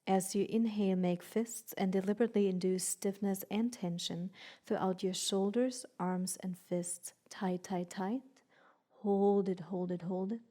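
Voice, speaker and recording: Soft, mellow woman guiding meditation. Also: medium pitch, steady tone. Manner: soothing, unhurried, gently instructing with long pauses. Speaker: guided meditation teacher. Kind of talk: slow guided meditation.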